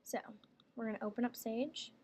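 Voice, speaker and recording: A woman working as a software trainer, explaining each step plainly in a casual screen tutorial. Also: a little high, moderate pace. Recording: clear background noise, room ambience.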